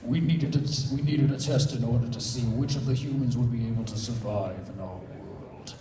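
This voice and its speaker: A man's voice: mid-range voice, steady tone, normal speed, mellow, slight background noise. A man reading fan fiction aloud as a comedic live panelist, in a convention room. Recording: echoey convention room, distant mic.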